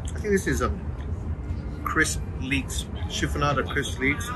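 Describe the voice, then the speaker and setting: A man's voice: medium pitch, a little slow. A middle-aged man casually reviewing dishes at an outdoor restaurant, enthusiastically describing each plate. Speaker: food reviewer. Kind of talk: casual food vlog narration. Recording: loud outdoor traffic noise.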